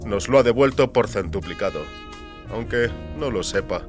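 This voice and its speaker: A man voicing an older, genial businessman, brisk and cordial, in a science fiction audio story. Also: on the low side, expressive, soft background music, natural voice.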